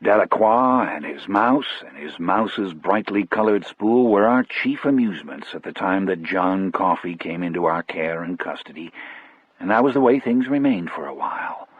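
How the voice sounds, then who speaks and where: Husky male audiobook narrator, low-pitched. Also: expressive, normal speed, no room sound, phone-quality sound. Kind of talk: scripted first-person story narration.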